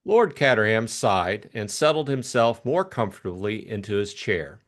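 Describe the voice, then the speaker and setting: A man narrating a classic novel as an expressive audiobook reader. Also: lowish voice, moderate pace.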